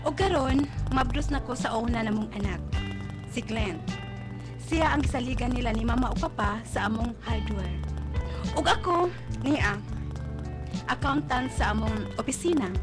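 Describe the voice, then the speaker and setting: A woman's voice: on the high side, expressive, moderate pace, long pauses, over loud music, natural voice. A woman playing an emotional young Cebuano woman in a radio drama, tearful and pleading. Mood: grateful, composed. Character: emotional young woman, pregnant, pleading with her parents and sweetheart.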